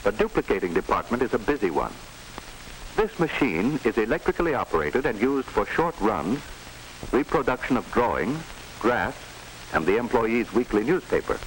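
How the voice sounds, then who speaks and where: Low-voiced American narrator, hissy 1940s film. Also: male voice, sing-song, moderate pace, poor audio.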